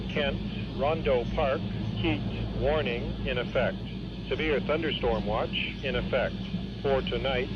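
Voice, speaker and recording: A man reading a scripted weather bulletin in formal, segmented phrases, heard through a portable radio. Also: mid-range voice. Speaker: weather forecast announcer. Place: radio broadcast played through a portable receiver. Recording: dull, very noisy weather radio reception.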